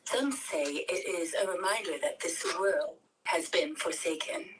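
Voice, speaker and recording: A woman's voice: on the low side, unhurried. A woman reading a narrator's part at a table read in solemn scripted narration. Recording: distant mic, noisy background, clicks.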